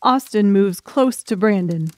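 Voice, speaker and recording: A woman's voice: medium pitch, a little slow, a little mellow, dry recording. Woman narrating a dramatic audiobook. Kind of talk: scripted dramatic story narration.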